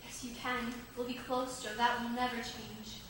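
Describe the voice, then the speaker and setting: A teenage girl playing a sweet, romantic, excitable young lady in a high school stage musical. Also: moderate pace, recorded from a distance, noisy background, close to an everyday voice. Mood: tender. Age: teens. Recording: echoey stage recorded from afar. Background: musical accompaniment.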